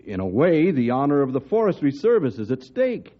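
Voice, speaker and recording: An actor playing a gruff, worried senior forest service colonel with a stern, blustering manner in an old-time radio drama. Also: male voice, medium pitch, big pitch swings, brisk. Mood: exasperated. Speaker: commanding officer. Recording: muffled old radio recording.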